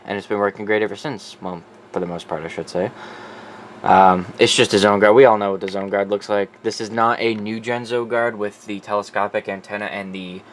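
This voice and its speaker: A man's voice: fairly low pitch, a little hiss or hum. A man presenting his collection in a casual, off-the-cuff, informal way, sometimes profane. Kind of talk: casual off-the-cuff show-and-tell.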